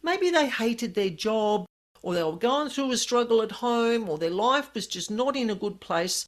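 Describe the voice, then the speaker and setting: A woman hosting a chatty podcast, her slightly high voice croaky and hoarse from a passing virus. She explains things earnestly and at length, in an easy, conversational way. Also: expressive, normal speed. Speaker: podcast host. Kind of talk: casual podcast chat.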